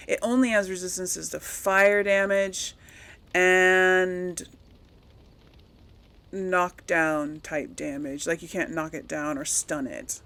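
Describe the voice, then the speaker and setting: A woman's voice: fairly low pitch, fairly bright tone. A woman hosting a gaming let's play, talking casually to her viewers in a rambling, chatty way. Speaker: host of a video game let's play channel.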